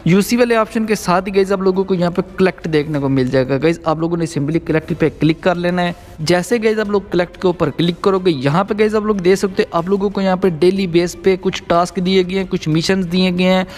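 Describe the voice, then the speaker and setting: A man with a slightly high-pitched voice narrates a gaming tutorial as the channel's host. He speaks directly to his viewers, often addressing them as guys, and explains each step as he goes. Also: a little fast, hardly any pauses, a little mellow.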